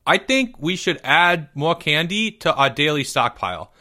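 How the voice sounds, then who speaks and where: A man's voice: a little high, big pitch swings, normal speed, sharp tone, voice pushed up. A man voicing an earnest schoolboy club officer who speaks in an insistent, childishly formal way. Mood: earnest, complaining. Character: earnest, complaining schoolboy deputy marshal with motions.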